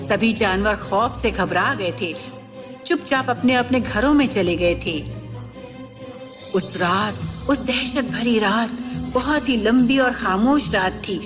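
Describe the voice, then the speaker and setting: A woman's voice: a little high, takes it slowly. A woman narrating a scripted children's story as a storyteller. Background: music.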